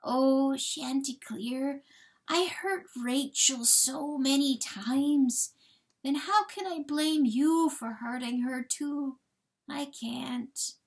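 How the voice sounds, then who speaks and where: Storybook coyote, acted by a woman: small, hurt, gentle, very unhurried, earnest near-whisper. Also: high voice, voice pushed up, heavily acted. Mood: sorrowful, tender. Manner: soft, slow, earnest whispering. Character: small, gentle, wounded male coyote, forgiving and calm.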